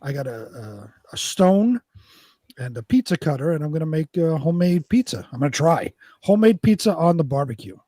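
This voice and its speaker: A man's voice: fairly high pitch, very animated, a little fast, warm tone, dry recording. A man co-hosting a show in casual, conversational chat. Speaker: co-host.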